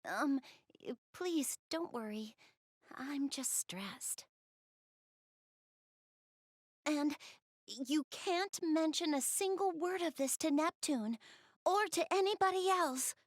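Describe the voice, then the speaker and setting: A woman's voice: high-pitched, animated, slow pace, no echo, clean studio sound. A woman voicing a shy, bookish young goddess in a video game, speaking hesitantly and earnestly. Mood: flustered. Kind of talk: video game character voice acting, intimate one-to-one dialogue.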